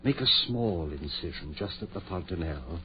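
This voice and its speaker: An actor playing a driven, grieving surgeon-scientist in 1940s radio style, intense, insistent and commanding. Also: male voice, deep voice, expressive, normal speed. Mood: urgent, commanding. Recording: muffled, noisy 1940s radio recording with hum.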